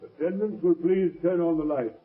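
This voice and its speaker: An actor playing a stern judge who speaks in a measured, formal way, in a 1930s radio courtroom drama. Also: male voice, on the high side, steady tone, lightly acted. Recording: tinny scratchy 1930s radio recording.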